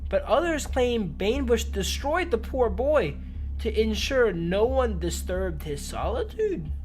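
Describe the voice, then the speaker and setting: A man putting on a slow old grandma storyteller. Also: high voice, very animated, darkish tone, soft background music, rough recording. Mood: ominous, solemn. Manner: slow, deliberate. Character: old grandmother telling a dark village folk tale. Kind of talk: slow storytelling narration in a horror game.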